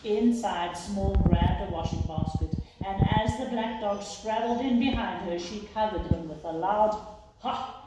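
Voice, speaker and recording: A woman teacher reading a children's story aloud with deliberate, expressive phrasing. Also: medium pitch. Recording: roomy echo, distant mic, faint noise.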